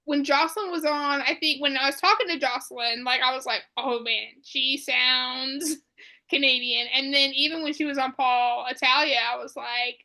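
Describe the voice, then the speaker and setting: Podcast host: Midwestern woman, high bright voice, laughs easily. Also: fairly even intonation, moderate pace. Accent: Midwestern American. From Chicago. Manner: laughs often, bubbly. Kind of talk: casual chat.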